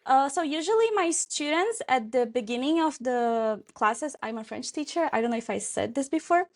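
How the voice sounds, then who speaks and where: A woman's voice: sits high, animated. A woman, a French teacher answering interview questions thoughtfully with frequent ums. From Brazil. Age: 20s. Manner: unhurried, thoughtful, many ums.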